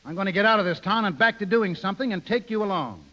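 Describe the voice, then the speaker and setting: A dull vintage radio recording of a proud middle-aged American magnate. His voice sits fairly high and swings widely, with blunt, plain-spoken delivery and a wounded pride underneath. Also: lively intonation, quick pace, sharp tone. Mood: irritated, defiant. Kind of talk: 1930s radio drama acting.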